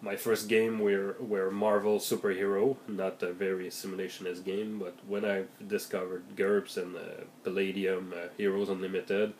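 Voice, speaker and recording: A man with a heavy cold, his voice raspy, talking casually and hesitantly with many fillers as he shares tips for game masters. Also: low-pitched, level tone, normal speed, few pauses, darkish tone, a little hiss or hum. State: has a heavy cold, voice deeper and raspier than usual. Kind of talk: casual vlog monologue.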